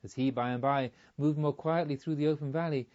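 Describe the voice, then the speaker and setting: A man reading a literary story aloud as an audiobook narrator, in a measured, expressive storytelling manner. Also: fairly high pitch, highs cut off.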